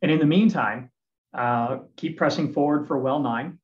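A man's voice: a little high, normal speed. A man in a committee meeting, speaking in a decisive, methodical way. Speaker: committee member. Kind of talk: meeting discussion. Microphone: video call. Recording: dull video call audio.

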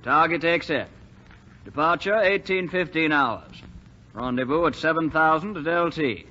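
Casting: crisp commanding colonel with dry wit, wartime radio play, raised pitch, muffled sound. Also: male voice, moderate pace, pushed and bright, a little hiss or hum. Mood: brisk, authoritative. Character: crisp, dry-witted male air force colonel. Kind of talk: 1940s radio drama acting, military briefing. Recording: muffled 1940s radio recording.

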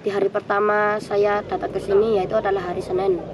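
A man's voice: high voice, level tone, fairly quick. Young teenage boy, noisy outdoor recording. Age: young teenager, about 12 to 13. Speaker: student scout giving a testimonial. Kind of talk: prepared testimonial to camera. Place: outdoors in a hillside camp garden. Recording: clear outdoor ambient noise.

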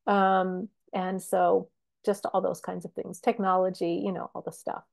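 A woman Bible teacher and author, a podcast guest, talking casually in a halting way, with many restarts and fillers. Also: on the low side, little pitch movement, vocal fry, darkish tone. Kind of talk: casual interview chat.

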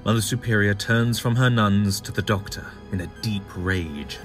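A man narrates an audio drama from a script. His voice sits fairly low, and music plays clearly behind him as he sets the scene. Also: moderate pace, fairly bright tone.

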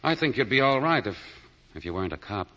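An actor playing a cool, wry convict with a laconic manner in 1940s radio style. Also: male voice, medium pitch, big pitch swings, fast talker, pushed and bright. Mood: wry, resigned. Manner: laconic, understated. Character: cool, wry, smooth-talking condemned convict. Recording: dull 1940 radio broadcast recording.

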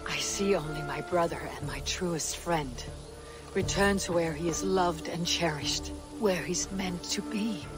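A woman's voice: on the low side, measured pace, over music, lightly acted. An actress playing a loyal, stoic Viking warrior woman, restrained and earnest, in a dramatic video game.

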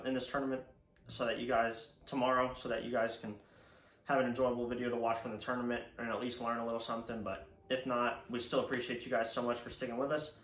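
A man's voice: mid-range voice, fairly bright tone. A young man with an American accent talks casually and informally to camera as a fishing vlog host. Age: young adult. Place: indoor room at home, seated before a banner. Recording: echoey room, faint background noise.

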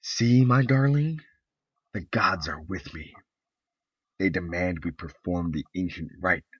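A drunk husband wheedles his wife, his voice deep, husky and slow, as a male narrator puts him on. It sounds dull and lo-fi, as if recorded on old tape. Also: fairly bright tone, dry recording, recorded from a distance, full character voice. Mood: coaxing. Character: drunk, leering husband, insistent and coaxing. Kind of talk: audiobook character voice.

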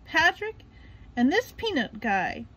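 A woman voicing an excitable, childlike young male sponge from a cartoon storybook, with exuberant, drawn-out exclamations. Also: high-pitched, pitched above the performer's own voice, full character voice, low-quality recording. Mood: sentimental, merry.

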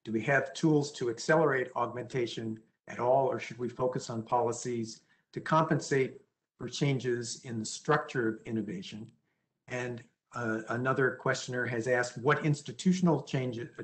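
A man chairing a conference session, reading and paraphrasing audience questions over a video call. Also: medium pitch. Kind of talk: moderating Q&A.

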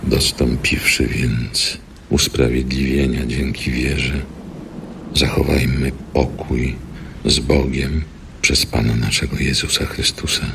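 A man reading an epistle from scripture in a grave, deliberate, scripted style. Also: very deep voice, flat delivery, creaky, noisy background. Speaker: scripture reader.

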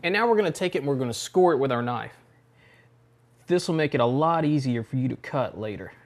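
A man's voice: mid-range voice, fairly quick. Middle-aged man demonstrating home cooking. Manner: relaxed step-by-step instruction with fillers. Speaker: cooking show host. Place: home kitchen, indoors.

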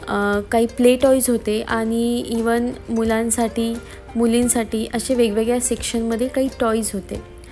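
A woman's voice: a little high, a little mellow. A woman narrating a family vlog in casual, explanatory voice-over, often repeating her phrasing. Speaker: family vlogger. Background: music.

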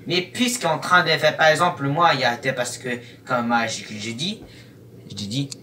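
A young man giving casual reaction commentary in a halting, rambling, disjointed way. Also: medium pitch, steady tone, bright, forceful tone. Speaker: YouTube host. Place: small room at home, indoors. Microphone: webcam at arm's length or more. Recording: noisy webcam, distant from the mic.

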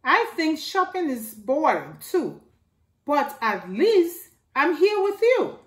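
A middle-aged woman reading a rhyming picture book aloud to children in a slow sing-song way, filmed indoors at home by a camera a few feet away. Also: sits high, very animated. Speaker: storytime reader. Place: living room by a staircase, indoors. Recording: echoey room.

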